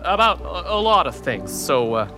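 An actor playing an excitable, flustered, scatterbrained brother in a family audio drama. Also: male voice, a little high, moderate pace, faint music behind. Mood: sheepish.

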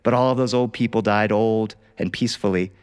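A man's voice: on the low side, fairly even intonation, fairly quick, darkish tone, smooth. A man telling a personal story live, as a practiced storyteller does. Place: studio, live performance.

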